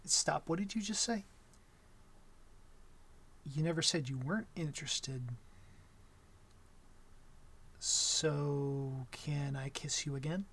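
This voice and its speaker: A man playing a shy, awkward young vampire in an intimate ASMR roleplay, gentle and smitten, speaking softly and hesitantly with stammers and apologies. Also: on the high side, slight background noise, close to an everyday voice. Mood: hopeful, smitten.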